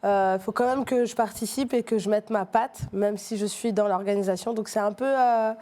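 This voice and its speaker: A middle-aged woman from Martinique talks through her answers in a mellow, warm tone. She hesitates often, restarting her sentences and filling pauses. It sounds like a relaxed interview conversation. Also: medium pitch. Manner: hesitant, many fillers and restarts. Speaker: street artist guest. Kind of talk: casual interview talk.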